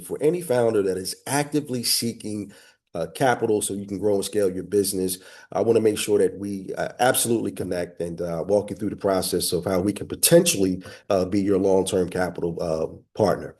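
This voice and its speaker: A man's voice: lowish voice, a little fast, mellow. A man with sinus congestion and a slightly nasal voice, giving an affable, upbeat business webinar presentation over a video call. He leans heavily on filler words.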